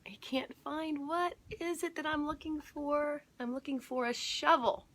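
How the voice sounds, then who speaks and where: A woman voicing a forgetful elderly male gardener in a storybook, halting as the character searches for words. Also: high-pitched, fairly even intonation, fairly bright tone, slight background noise, voice pushed up. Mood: searching, puzzled.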